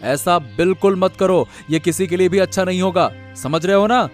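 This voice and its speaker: Cartoon landowner, played by a man: high-pitched, scolding, indignant, emphatic, music underneath. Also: normal speed, natural voice. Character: indignant wronged village landowner. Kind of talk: Hindi moral-story cartoon dubbing.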